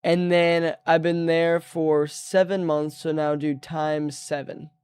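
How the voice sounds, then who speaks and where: Laid-back young man, smooth and close. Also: fairly high pitch, slow pace, dry recording. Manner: relaxed, easygoing, trails off mid-sentence. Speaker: streamer. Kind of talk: casual chat.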